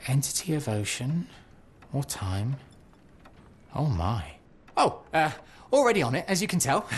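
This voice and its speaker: Flustered, bookish man, very animated. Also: fairly high pitch, measured pace, pauses often, a little hiss or hum. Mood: amazed. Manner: hesitant, stammering, courteous, excitable. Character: eager, nervous, bookish man, polite and helpful. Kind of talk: scripted video game cutscene acting.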